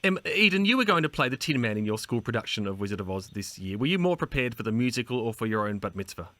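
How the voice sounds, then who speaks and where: A man's voice: medium pitch, very animated, fast, bright, forceful tone. A man with an Australian accent hosting a playful chat with guests in a jokey, chirpy manner. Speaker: show host.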